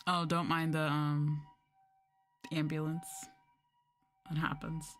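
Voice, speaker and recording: A woman streamer chatting casually in a soft-spoken ASMR style, rambling and swearing as she goes. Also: low voice, level tone, slow, soft background music. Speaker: VTuber streamer.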